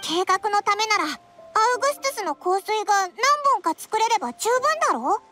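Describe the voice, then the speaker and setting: Very high, squeaky childlike fairy. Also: female voice, animated, fairly quick, sharp tone, faint music behind, exaggerated voice. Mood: curious, amazed. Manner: exclamatory, childlike, blunt. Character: tiny childlike fairy companion, curious and blunt. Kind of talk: Japanese game voice acting.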